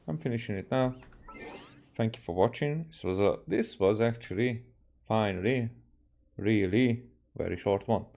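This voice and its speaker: Low, mellow Hungarian-accented male streamer. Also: gravelly. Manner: halting, repeats himself. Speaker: game streamer. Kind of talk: casual gameplay commentary.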